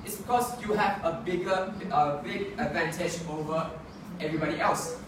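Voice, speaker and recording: A young man argues his case in a formal debate, restarting phrases as he goes. His voice sits high, and it carries through a very echoey room with clear background noise. The mic picks him up from a distance. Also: normal speed. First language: not English. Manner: earnest, argumentative, frequent self-corrections. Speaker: student debater. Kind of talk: competitive debate speech.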